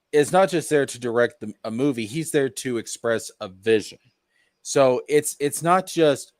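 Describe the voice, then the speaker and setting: A man's voice: mid-range voice, no room sound. A man in a casual discussion with friends, talking emphatically and restating his points as he gives his opinions. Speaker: podcast panelist.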